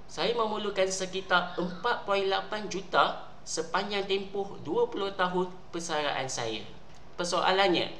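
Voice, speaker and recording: A man with a Malaysian Malay accent, a personal finance YouTuber, giving a scripted explainer. He reads figures and statistics in a steady, deliberate way. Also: high voice, slight background noise.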